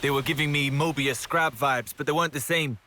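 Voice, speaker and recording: Brash young male fighter, bright forceful voice. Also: a little high, steady tone, brisk, a little hiss or hum. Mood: casual, reassuring. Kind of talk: video game cutscene acting.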